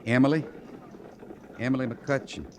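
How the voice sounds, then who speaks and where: Actor as folksy, drawling cavalry sergeant, faint noise. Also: male voice, mid-range voice, steady tone, normal speed, close to an everyday voice. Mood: subdued. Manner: rustic, ungrammatical frontier drawl. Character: folksy, bashful, plain-spoken cavalry sergeant. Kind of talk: old-time radio western acting. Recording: noisy old radio drama recording.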